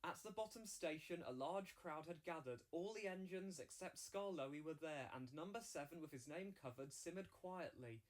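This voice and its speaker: Man reading a children's story, higher-pitched voice. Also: lively intonation, moderate pace, hardly any pauses. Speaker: story narrator. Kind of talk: scripted children's storybook narration.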